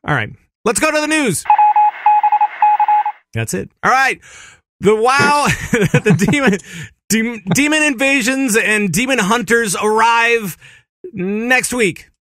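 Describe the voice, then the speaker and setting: A man's voice: extremely high pitch, lively intonation, slow, long pauses, pushed and bright. A man co-hosting a podcast, talking casually and rambling, with frequent restarts and easy laughter. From Minneapolis area, USA. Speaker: podcast co-host.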